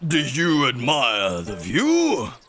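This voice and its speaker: A man voicing a pompous, sentimental old male walrus for a recited storybook poem, with a slow, theatrical, mock-sorrowful delivery. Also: mid-range voice, wildly swooping pitch, fairly bright tone, faint music behind, exaggerated voice.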